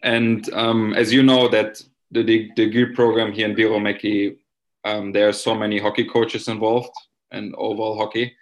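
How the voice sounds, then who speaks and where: A man with a Finnish accent co-hosting a podcast interview, halting as he searches for words. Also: on the low side, flat delivery, rough recording. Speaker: podcast co-host.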